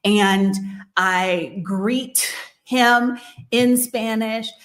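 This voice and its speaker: A woman hosting a channel about moving abroad, talking casually and thoughtfully, with frequent pauses, ums and restarts. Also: on the high side, fairly bright tone. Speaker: host of a move-abroad channel. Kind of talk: casual advice talk. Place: living in Mexico.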